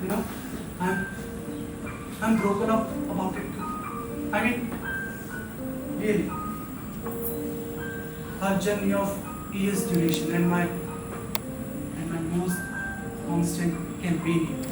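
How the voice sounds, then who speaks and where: A stage actor playing a lonely, restless rooming-house drifter, rambling theatrically and dwelling on words in halting repetitions. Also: medium pitch, level tone, close to an everyday voice. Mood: subdued, reminiscent. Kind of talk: live stage play monologue. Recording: echoey theatre captured from far off, faint music.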